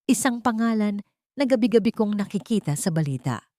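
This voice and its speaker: A woman's voice: mid-range voice, expressive, a little fast, warm tone, no echo, clean studio sound. A woman reading a dramatic first-person story as scripted narration.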